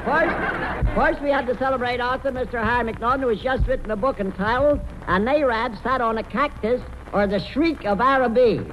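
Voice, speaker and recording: A man's voice: extremely high pitch, a little fast, background noise. A man hosting a 1940s radio comedy quiz, rattling off gags on an old recording. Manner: echoes answers, rattles off gags. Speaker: comic quizmaster.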